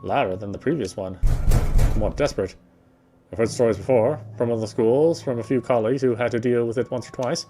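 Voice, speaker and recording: A man's voice: mid-range voice, fairly quick, music in the background. A man reading visual novel narration aloud, sometimes stumbling and repeating phrases. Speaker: playthrough reader.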